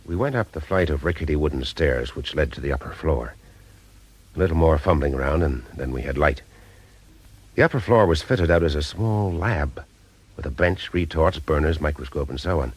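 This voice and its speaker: Deep, husky, wry private eye. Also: male voice, animated, moderate pace, full character voice, rough recording. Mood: measured, suspenseful. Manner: dry, understated, wry. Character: wry, cool private eye telling his own story. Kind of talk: radio detective drama with first-person narration.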